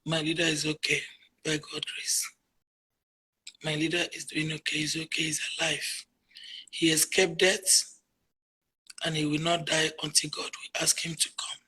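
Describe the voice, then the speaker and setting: Husky Nigerian woman, emphatic. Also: little pitch movement, a little slow, pauses often, low-quality recording. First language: Igbo. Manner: emphatic, swings between low murmurs and loud declarations. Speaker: interviewee, activist. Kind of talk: emotional call-in interview.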